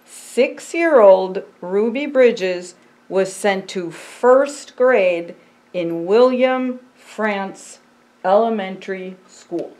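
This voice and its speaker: An older woman reads a children's storybook aloud at a slow pace. Her pitch sits in the middle range. She phrases things simply and deliberately, stepping aside from the text to explain as to a child. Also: darkish tone, low-quality recording. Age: 60s. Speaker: pastor and storytime reader. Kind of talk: reading a picture book aloud with asides to a child. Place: indoor storytime set by a fireplace.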